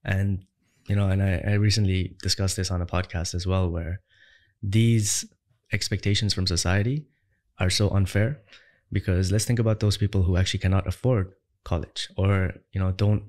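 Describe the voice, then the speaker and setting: A young man from Ireland with a lowish voice talks as an interview guest. He is conversational and emphatic, and he stacks up rhetorical questions with growing force. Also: fairly even intonation, moderate pace, darkish tone. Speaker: entrepreneur guest.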